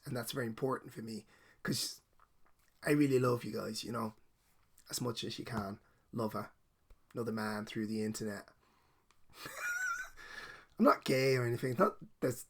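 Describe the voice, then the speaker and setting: A middle-aged Danish man speaks into a desk microphone with a gravelly, fry-laced voice. His Danish accent carries Irish and American traces, and he rambles self-consciously, apologizing often. His pitch sits in a middle register for a man. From Denmark. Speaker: comic book collector. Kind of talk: casual heartfelt video message.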